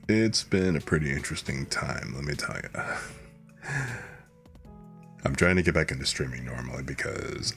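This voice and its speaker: A hoarse man hosting a live stream, chatting in a relaxed, rambling, soft-spoken way. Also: a bass voice. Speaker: streamer host. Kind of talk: laid-back stream chat.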